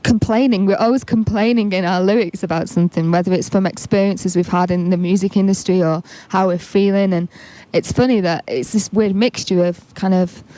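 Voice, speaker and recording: A woman from Manchester with a broad Manchester accent, talking casually as an interview guest. Her voice is warm and mellow, and she fills her answers with easygoing "you know"s. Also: medium pitch, a little fast, hardly any pauses, poor audio. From Manchester, England. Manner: relaxed, chatty, frequent "you know". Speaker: musician guest.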